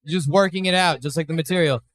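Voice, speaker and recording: A man's voice: a little high, fast talker, fairly bright tone. A man from Chicago hosting a live podcast at a bar, loose and profane as he interviews a guest. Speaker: podcast host. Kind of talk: casual live podcast chat.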